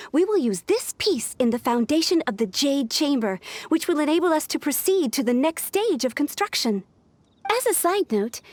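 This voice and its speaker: Prim secretary girl, high lively voice, formal game dialogue, close dry sound. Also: dry recording. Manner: formal, businesslike, crisp wording. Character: precise, polite, officious young female secretary. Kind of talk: animated game voice acting.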